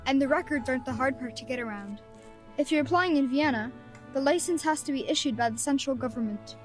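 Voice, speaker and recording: A woman's voice: high-pitched, quick pace, soft background music, lightly acted. Woman voicing a sassy street boy. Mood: sardonic. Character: sassy streetwise boy, cynical about rules. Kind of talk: game voice acting.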